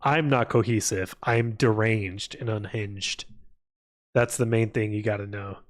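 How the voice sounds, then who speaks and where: A man's voice: lowish voice, steady tone, measured pace. A man streaming live, chatting casually in a rambling, irreverent way with plenty of swearing. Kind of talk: casual livestream chat.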